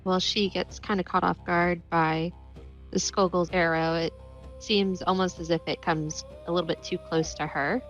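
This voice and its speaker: A woman's voice: on the low side, fairly even intonation, moderate pace, a bit bright, faint music behind. A woman playing a tabletop game, steadily describing what her character does. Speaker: player. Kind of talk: casual tabletop play. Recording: dull lo-fi recording.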